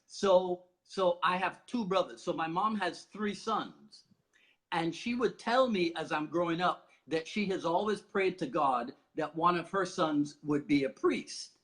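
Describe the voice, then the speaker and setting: High-pitched chatty man from Barbados. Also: a little slow. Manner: animated, chatty, laughs often. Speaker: host. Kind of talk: casual conversation.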